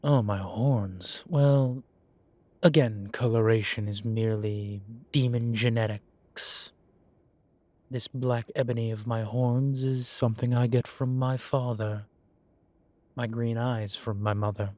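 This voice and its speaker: A composed demon professor, acted by a man for a roleplay audio. He speaks unhurriedly at a middling male pitch, measured and formal with a wry, teasing edge. The sound is dry, with no room around it. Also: steady tone, darkish tone, dry recording, lightly acted. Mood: patient, explaining. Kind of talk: scripted roleplay audio, one side of a conversation.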